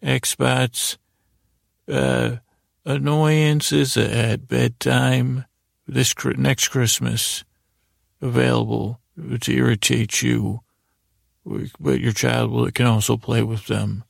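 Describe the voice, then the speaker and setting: A man hosting a bedtime podcast in a gravelly voice, with a meandering, halting, self-deprecating monologue. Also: medium pitch, flat delivery, dry recording, studio quality. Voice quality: very creaky, gravelly. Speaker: podcast host.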